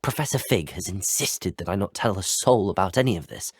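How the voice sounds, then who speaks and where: An actor playing an earnest, curious teenage wizard student in a video game, speaking politely with a British accent. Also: male voice, sits low, brisk, slightly rough. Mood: earnest, courteous. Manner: polite, well-spoken. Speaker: player character voice.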